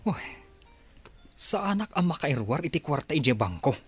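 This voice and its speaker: Husky, animated Filipino schemer. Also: male voice, on the high side, a little slow, no room sound, faint music behind, heavily acted. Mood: agitated. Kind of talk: radio drama dialogue.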